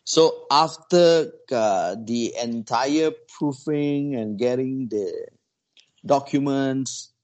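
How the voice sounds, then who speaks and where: A man recounts a story to a small group. He talks in a halting, conversational way, restarting his phrases and filling the gaps with "you know." His voice sits at an ordinary middle pitch. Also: a little mellow.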